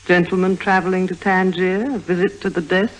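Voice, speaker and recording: A woman's voice: lowish voice, flat delivery, brisk, natural voice. Actress as alluring young woman, coy, self-possessed, teasing, 1950s radio drama, crackly old broadcast sound. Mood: cool, teasing.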